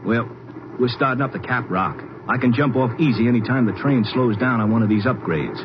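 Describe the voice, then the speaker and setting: A man's voice: fairly quick, lightly acted. An actor playing a burly, cynical army private of thirty with slangy tough-guy talk in a 1950s radio drama. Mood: defiant, scheming. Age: 30s. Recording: noisy dull 1950s radio recording, vehicle rumble.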